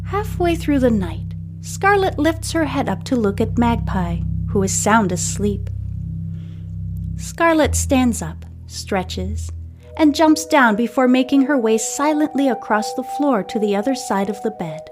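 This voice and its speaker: A woman narrates a serial story as podcast host. Her voice sits a little high, and faint music plays behind the scripted reading. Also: animated, measured pace.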